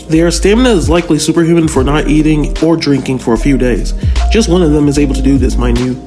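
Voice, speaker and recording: A man giving informal analytical video commentary, talking straight to viewers in a conversational way with rhetorical questions. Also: on the high side. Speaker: video narrator, fan power-scaling reviewer. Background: background music.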